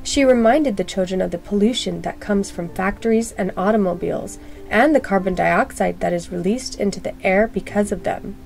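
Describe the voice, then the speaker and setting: Expressive woman reading a children's storybook. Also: mid-range voice, moderate pace, faint music behind. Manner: expressive, clearly phrased for children. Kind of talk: scripted storybook narration.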